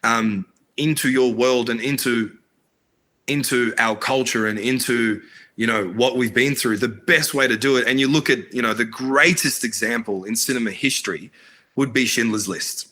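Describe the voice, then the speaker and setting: A man's voice: mid-range voice, normal speed, bright, forceful tone, a little hiss or hum. A man, born in Iraq, talking earnestly as a filmmaker guest in a casual interview, with lots of fillers and restarts. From Iraq, raised in Australia. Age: adult, married.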